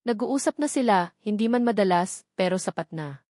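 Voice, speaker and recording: A woman's voice: mid-range voice, lively intonation, moderate pace, mellow, no echo. Woman narrating a love story, dry close sound.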